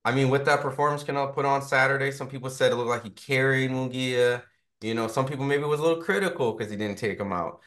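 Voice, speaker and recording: A man's voice: mid-range voice, steady tone, fast talker, fairly bright tone. A man interviewing a boxer in a casual way, full of fillers and frequent "right?" tags. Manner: chatty, filler-heavy, keeps asking "right?".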